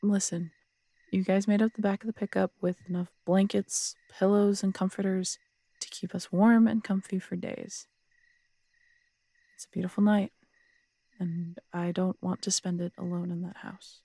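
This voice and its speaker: A woman's voice: mid-range voice, little pitch movement, normal speed, gravelly, mellow, husky, no echo. A woman playing a feisty, teasing, proud police detective in an intimate roleplay audio drama. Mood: reassuring, wry. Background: crickets at night.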